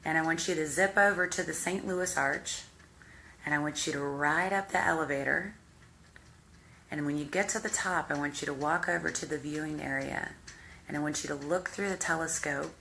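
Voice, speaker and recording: A woman hypnotherapist with a deep voice, guiding the listener through a visualization in slow, calm, step-by-step instructions. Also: gravelly, slight background noise, low-quality recording. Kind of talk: guided visualization exercise.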